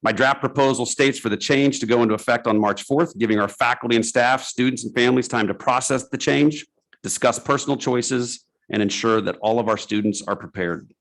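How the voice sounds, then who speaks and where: A man reading a formal prepared statement at a school board meeting in a steady, official manner. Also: medium pitch, a little fast, low-quality recording.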